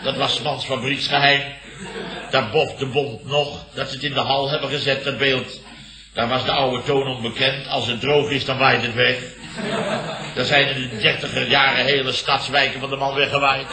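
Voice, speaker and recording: An actor playing a blustering, pompous middle-aged Dutch company boss in a comic 1970s radio play, grumbling and declaiming theatrically. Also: male voice, mid-range voice, level tone, moderate pace, natural voice. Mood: grumbling storyteller. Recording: roomy old radio comedy recording.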